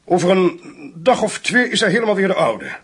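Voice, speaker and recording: An actor in a radio play playing a calculating schemer who poses as a polite, hesitant priest. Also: male voice, high-pitched, very animated, normal speed, a bit bright, breathy edge, exaggerated voice.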